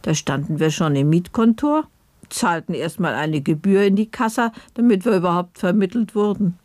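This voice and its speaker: A woman's voice: lowish voice, moderate pace, a little mellow, no room sound, natural voice. An actress playing an elderly grandmother who narrates memories of her youth as a housemaid in a German radio play, reflective and vivid. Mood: reminiscing. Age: older.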